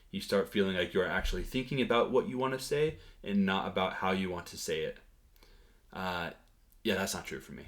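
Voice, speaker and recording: A man with a deep, low voice talks through a relaxed vlog update on his language learning. He hesitates often, filling pauses with "um" and "like" and restarting his sentences as he thinks aloud. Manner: hesitant, many fillers and restarts. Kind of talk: casual talking-head update.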